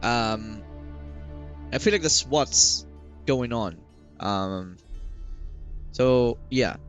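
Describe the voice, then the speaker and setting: A man giving casual, halting reaction commentary as he plays a game, musing aloud. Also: mid-range voice, expressive. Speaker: gaming video host. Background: faint game music.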